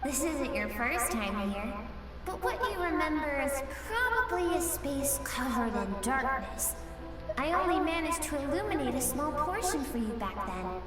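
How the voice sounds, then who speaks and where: A very echoey, high voice of a mysterious fairy guide in a fantasy game. She is gentle and poised, telling things lyrically over loud music. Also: big pitch swings, normal speed. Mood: playful, welcoming. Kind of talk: scripted game voice acting.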